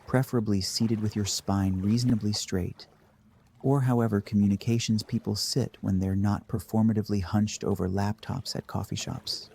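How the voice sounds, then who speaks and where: Dark, mellow low-voiced man guiding meditation. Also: steady tone, normal speed, vocal fry, husky. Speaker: guided meditation host. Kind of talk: scripted guided meditation narration.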